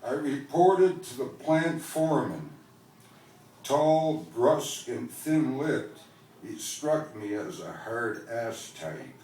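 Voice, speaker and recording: Male author from Canada reading his prose live, slow and deliberate, recorded from a distance in a very echoey venue. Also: medium pitch, very animated, a little mellow, slight background noise. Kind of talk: literary prose reading at a book launch. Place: indoor event venue, recorded from the audience.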